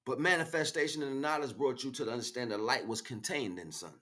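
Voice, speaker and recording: An American man giving an emphatic, freestyle spiritual monologue in street slang, repeating phrases as he goes, with a husky voice. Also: mid-range voice, fast, pushed and bright. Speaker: spiritual livestream speaker.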